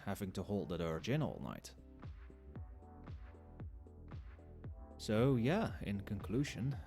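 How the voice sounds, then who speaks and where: A man acts out a chatty barman in an audio roleplay, his low voice moving with plenty of lift and dip. He explains things to the listener in an easy, knowing, wryly amused way, with soft club music playing behind him. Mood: pleased, explanatory. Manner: conversational, explanatory, with hesitations and understatement. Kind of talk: ASMR roleplay, conversational monologue to the listener. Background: soft club music and nightclub ambience.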